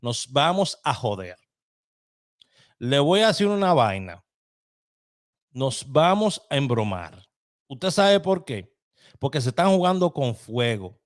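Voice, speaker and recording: Un hombre dominicano de mediana edad que presenta en vivo un programa de opinión, hablando con pasión y en tono coloquial, directo a su público. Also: male voice, mid-range voice, sing-song, slow, pauses often. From Dominican Republic. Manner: impassioned, colloquial, addresses listeners directly. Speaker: host. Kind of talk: live opinion commentary.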